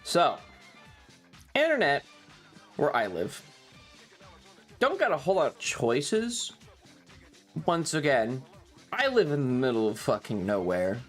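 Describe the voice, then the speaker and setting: Male streamer, slightly tired, unhurried rambling chat with swearing, music underneath. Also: medium pitch, huge pitch swings. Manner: sweary, self-deprecating. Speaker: livestream host.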